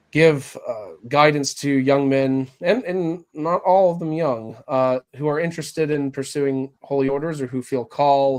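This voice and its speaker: A man who is a priest, talking earnestly in a casual interview, his voice raspy as he recovers from bronchitis, with many fillers and restarts. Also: mid-range voice, darkish tone. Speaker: Anglican priest, guest.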